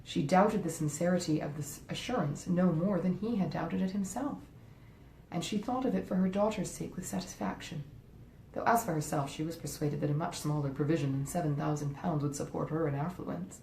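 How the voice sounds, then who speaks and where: Mellow, expressive woman narrator in echoey room. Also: a little fast, vocal fry. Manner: expressive, literary, carefully phrased. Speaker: audiobook narrator, author. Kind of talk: live read-aloud narration of a classic novel. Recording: roomy echo, faint rumbling noise.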